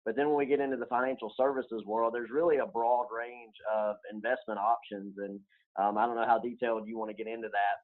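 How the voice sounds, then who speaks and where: Dull-sounding recording of a man. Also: medium pitch. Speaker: financial advisor guest. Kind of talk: conversational explanation.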